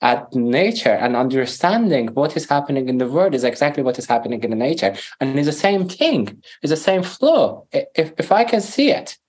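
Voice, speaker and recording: A man's voice: mid-range voice, very animated, darkish tone. Earnest man with a Persian accent. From Iran. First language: Farsi. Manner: earnest, halting, searching for words. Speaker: podcast guest. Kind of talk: casual interview talk.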